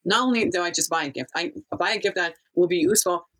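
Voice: medium pitch, fast talker, a bit bright. A person from Kazakhstan telling a life story in a casual interview, rambling and often losing the thread.

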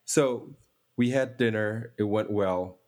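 A man's voice: on the low side, takes it slowly, darkish tone. A man from Indonesia whose first language is Indonesian, a podcast guest telling a story in a chatty, laughing way. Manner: laughing, chatty, restarts often. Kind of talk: casual storytelling.

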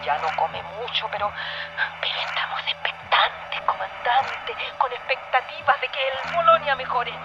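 Actress as slow, high-voiced frightened subordinate woman. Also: very animated, highs cut off. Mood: fearful, hushed. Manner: halting, repetitive, swinging from whisper to outcry. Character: frightened, pleading subordinate of a police commander. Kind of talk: theatrical radio drama monologue. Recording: music behind the voice.

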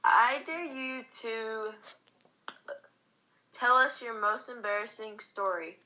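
Young woman, slow and hesitant, bright voice, dull lo-fi recording. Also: on the high side. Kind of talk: casual chat with friends.

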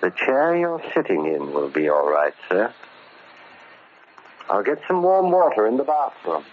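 A very deep, formal manservant hides a vengeful ex-convict beneath measured courtesy. He is politely menacing in old radio horror style, on a muffled recording with faint noise. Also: sing-song. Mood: deferential. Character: vengeful ex-convict posing as a deferential, bookish manservant.

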